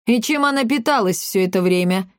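A woman's voice: mid-range voice, lively intonation, a little fast, no echo, studio quality, lightly acted. A woman voicing a tiny old mother in a storybook, domineering, scolding and theatrically outraged. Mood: mocking.

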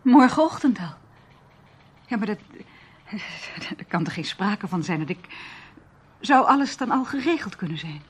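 An actress in a Dutch radio play playing an anxious, determined woman searching for her missing brother, speaking with halting intensity. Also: medium pitch, animated, husky. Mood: flustered, hesitant. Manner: hesitant, halting, intense delivery.

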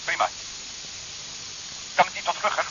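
Clipped diver on noisy radio. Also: male voice, normal speed. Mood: impatient. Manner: clipped, reporting observations in short phrases. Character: brave male undersea diver scouting in darkness, matter-of-fact. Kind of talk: 1970s Dutch science-fiction radio drama acting. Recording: dull, noisy 1970s radio recording.

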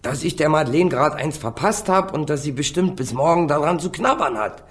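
A man's voice: a little high, expressive, brisk, close to an everyday voice. A man acting a defiant, street-tough young small-time crook in a German radio crime drama, flippant and slangy. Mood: defensive. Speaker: actor playing a defendant.

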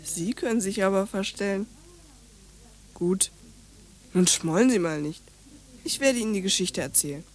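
A woman's voice: mid-range voice, moderate pace, long pauses, close to an everyday voice. A woman voicing a precocious, bluntly scornful young French girl in a radio play, telling stories in bossy, chatty slang. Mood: chatty, condescending.

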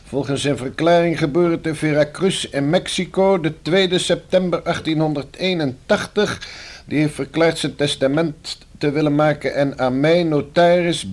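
Higher-pitched, formal male notary played by a man. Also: close to an everyday voice. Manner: official, measured. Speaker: radio drama actor. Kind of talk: formal legal reading.

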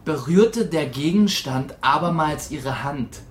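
An actor playing a cheeky teenage class clown in a radio drama, reading aloud with mock drama. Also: male voice, a little high, lively intonation, takes it slowly, echoey room, slight background noise. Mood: mocking, gleeful.

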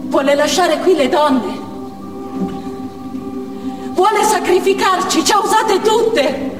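An actress playing a desperate, defiant female Chechen militant in a declamatory theatrical monologue. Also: very high-pitched. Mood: tense, desperate. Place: theatre stage, picked up from afar. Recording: distant echoey theatre hall with music.

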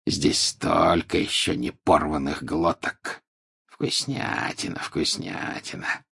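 A savage werewolf grates out his words low, slow and half under his breath. A man plays him in a husky voice. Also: fairly bright tone, exaggerated voice. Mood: gloating. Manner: grating, growling. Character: savage male werewolf, grating and hungry.

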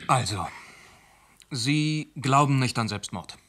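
An actor playing a methodical police inspector who calmly and patiently questions witnesses in a radio drama. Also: male voice, mid-range voice, takes it slowly.

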